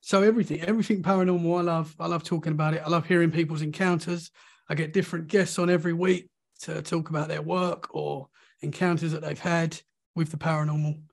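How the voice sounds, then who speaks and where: A high-pitched English man with a London-area accent chats on a video call. His voice is gravelly from a sore throat and a cold, and he sounds eager and agreeable. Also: fairly even intonation. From Essex, England. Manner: friendly, enthusiastic, quick to agree. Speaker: podcast host, guest. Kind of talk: casual video-call chat.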